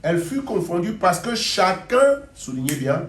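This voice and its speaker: A man preaching a sermon in a church and interpreting for another preacher, animated, repeating phrases and drawing answers from the congregation. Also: sits high, normal speed. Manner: animated, repeats phrases, mock gibberish for effect. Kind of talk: sermon with call and response. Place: church hall. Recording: echoey hall.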